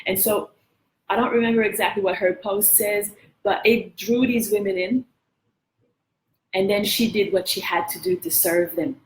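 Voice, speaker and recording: A woman's voice: medium pitch, steady tone, moderate pace, roomy sound, rough recording. A woman with a French accent hosting a live coaching session, talking earnestly and encouraging viewers to share their feedback. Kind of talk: motivational live-stream talk.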